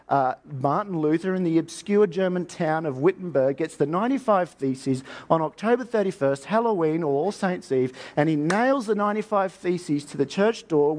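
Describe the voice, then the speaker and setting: A man's voice: a little high, darkish tone. A man giving a conversational, lecture-like sermon in an informal, colloquial storytelling way, often posing rhetorical questions. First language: English. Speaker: preacher teaching on Bible history.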